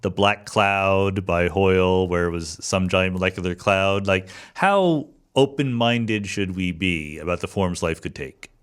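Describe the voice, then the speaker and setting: A man's voice: deep voice, a little slow, few pauses, clean tone. A man hosting a podcast interview in a conversational, informal way with wry, playful phrasing. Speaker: podcast host.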